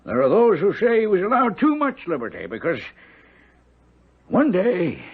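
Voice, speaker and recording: Raspy, folksy country servant. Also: male voice, high voice, huge pitch swings, moderate pace, full character voice. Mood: gossipy, wistful. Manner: folksy, rambling storytelling. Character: kindly folksy country servant man, gossipy and gentle. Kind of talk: old-time radio drama acting. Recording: tinny, narrow-band radio broadcast.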